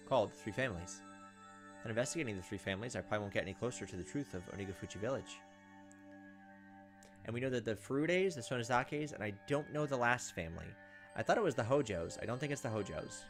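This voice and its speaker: A man's voice: mid-range voice, music in the background. A man reading visual novel text aloud as a let's play host, moving between narration and casual asides. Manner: pauses to comment on the text.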